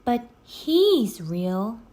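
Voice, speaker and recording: An eager young girl adventurer from a children's storybook, acted out by a woman. She is bold, friendly and excitable, with a high voice that swings widely in pitch. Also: drawn-out words, exaggerated voice. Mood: insistent.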